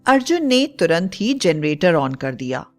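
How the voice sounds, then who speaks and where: A woman's voice: on the low side, big pitch swings, a little slow. A woman narrating a scripted bedtime story as a storyteller. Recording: faint music bed. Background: soft background music.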